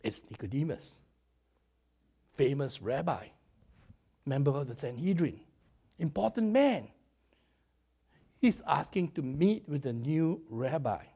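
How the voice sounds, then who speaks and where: Slightly raspy, animated preacher man. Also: fairly high pitch, takes it slowly, pauses often. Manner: animated, chatty, jokes at his own expense. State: hoarse after a cold. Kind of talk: sermon with storytelling.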